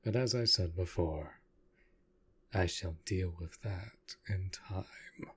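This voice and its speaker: A man playing an aloof, commanding yet protective vampire master in an intimate roleplay audio drama, speaking in a formal, measured way. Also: low-pitched, fairly bright tone, breathy edge, heavily acted. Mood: cold, menacing. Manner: formal, measured, deliberate speech.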